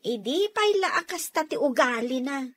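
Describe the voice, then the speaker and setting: A woman's voice: high voice, expressive, unhurried. A woman playing a chatty, cheeky younger sister in a radio comedy drama. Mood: grumbling, teasing.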